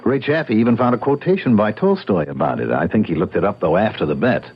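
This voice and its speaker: An actor playing an earnest, stubborn young American bachelor in an old-time radio drama, explaining himself emphatically. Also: male voice, fairly low pitch, expressive, fast, slightly rough. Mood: insistent. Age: young adult. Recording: muffled radio drama recording.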